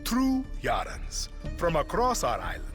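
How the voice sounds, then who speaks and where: An actor playing a commanding, menacing middle-aged male dictator, speaking in a measured, theatrical way in a game cutscene. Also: a little high, huge pitch swings, fairly bright tone, faint music behind, exaggerated voice. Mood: grandiose. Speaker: president addressing the nation. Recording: film score behind the voice.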